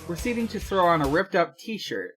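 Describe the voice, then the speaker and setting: A podcast host speaking casually and haltingly, in chat and reading fanfiction aloud. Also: mid-range voice, sing-song, a bit bright, faint music behind. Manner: hesitant, halting.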